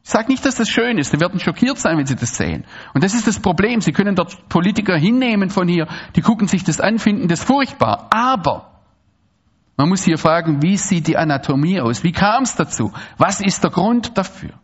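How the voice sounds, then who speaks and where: Animated man lecturing an audience. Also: sits high, brisk, breathy edge, dull audio. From Swabia, Germany. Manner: animated, digressive, frequent restarts and asides. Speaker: speaker giving a public talk.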